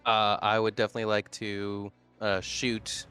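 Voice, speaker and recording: A man's voice: on the low side, completely flat delivery, normal speed, pushed and bright, clean tone, faint music behind. A man chatting casually as a player in a tabletop roleplaying game.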